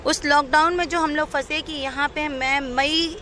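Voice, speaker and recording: A woman's voice: high-pitched, steady tone, unhurried, bright, forceful tone, slight background noise. A young woman giving a personal account in an interview, recounting her grievances at length.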